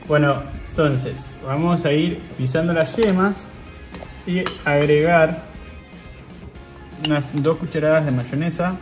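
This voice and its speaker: A man in his thirties with an Argentine accent, hosting a cooking show and calmly talking viewers through each step as he works. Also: a little high. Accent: Argentine Spanish. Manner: talks viewers through steps while working with his hands. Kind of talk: casual cooking demonstration. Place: outdoor grill patio.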